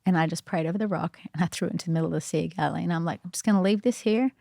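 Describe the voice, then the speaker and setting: A woman's voice: on the low side, very fast, vocal fry, dark tone, no echo, studio quality. A woman guest on a podcast, telling personal faith stories in an earnest, chatty way, full of "like" and "you know". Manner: earnest and reflective. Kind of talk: casual faith storytelling.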